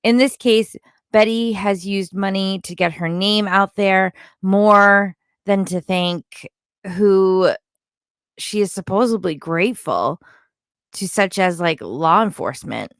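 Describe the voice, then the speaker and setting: A woman's voice: mid-range voice, slow, clean tone, dry recording, studio quality. A woman delivering opinionated, partly scripted recap commentary in a critical, disapproving manner, like an online content creator.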